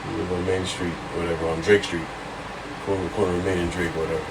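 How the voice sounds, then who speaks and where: A man's voice: low-pitched, little pitch movement, quick pace, recorded from a distance, heavy background noise. A man in his late twenties co-hosting a casual podcast, drawling and laid-back. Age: 20s. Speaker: podcast co-host. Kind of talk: casual chat.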